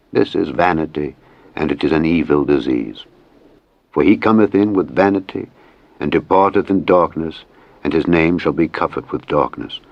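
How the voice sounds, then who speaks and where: A man narrating scripture in a solemn, measured and declamatory reading style. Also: low voice, no room sound, lo-fi sound.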